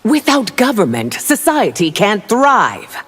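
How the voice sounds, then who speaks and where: An actress playing an imperious, principled empress in a video game, speaking in a regal, stern manner. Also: female voice, mid-range voice, wildly swooping pitch, takes it slowly, heavy background noise. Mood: regal disdain. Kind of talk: video game character dialogue. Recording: long reverb over game music.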